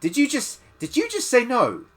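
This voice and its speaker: A man's voice: very high-pitched, huge pitch swings, moderate pace, fairly bright tone, soft background music, pitched above the performer's own voice, heavily acted. A man voicing a cruel, fat village bully boy who sneers and whines. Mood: mocking. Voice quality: sneering, whining.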